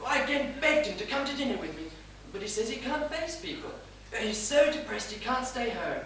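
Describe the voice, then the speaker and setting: A woman's voice: a little high, big pitch swings, fairly quick, fairly bright tone. A woman playing a mild, guileless, chatty husband in a stage period comedy, earnestly recounting and theatrically quoting. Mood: sympathetic reporting. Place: theatre stage, recorded from the audience. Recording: echoey theatre, distant mic, faint noise.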